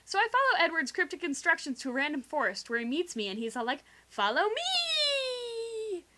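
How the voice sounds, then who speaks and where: A young woman doing a theatrical, sarcastic comic dramatic reading in slangy language, recorded quietly on a homemade game microphone rig. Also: extremely high pitch, big pitch swings, moderate pace, a little hiss or hum. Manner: theatrical, sarcastic, slangy, acts out quoted dialogue. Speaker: storyteller. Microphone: video game microphone with improvised pop filter.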